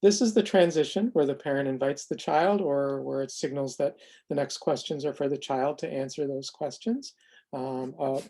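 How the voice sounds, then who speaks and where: Mellow, measured presenter walking through a demo. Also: medium pitch. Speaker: presenter demonstrating a software tool. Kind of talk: semi-scripted explanatory demo narration.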